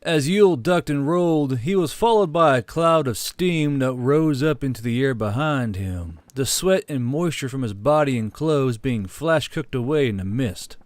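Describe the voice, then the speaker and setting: A man's voice: mid-range voice, lively intonation, moderate pace, few pauses, no echo. Dry-sounding man narrating fiction. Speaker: audiobook narrator. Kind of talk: scripted fantasy war story narration.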